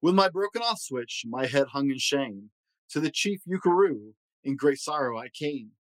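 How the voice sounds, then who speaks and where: A man's voice: medium pitch, animated, moderate pace, no room sound, rough recording. A man hosting a read-aloud, telling a rhyming children's story in a theatrical, sing-song way. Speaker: host reading a children's book aloud.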